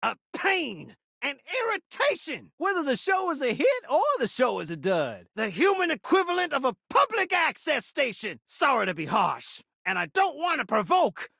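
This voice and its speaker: A man's voice: very high voice, huge pitch swings, normal speed, vocal fry, hardly any pauses, bright, forceful tone, no echo, studio quality, exaggerated voice. A man voicing a showy, flirty talking television set in a video game, rhyming with theatrical showman flair. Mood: scornful. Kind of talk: video game character voice acting.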